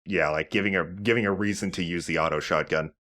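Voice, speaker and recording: A man hosting a let's play, giving casual gaming commentary in a halting, conversational way. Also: sits low, steady tone, fairly bright tone.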